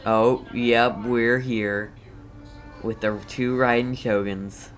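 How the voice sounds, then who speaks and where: A player giving casual, halting commentary on a video game while playing, reacting in short murmured asides. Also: mid-range voice, fairly even intonation. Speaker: game streamer. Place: voice-over on game footage, game music behind.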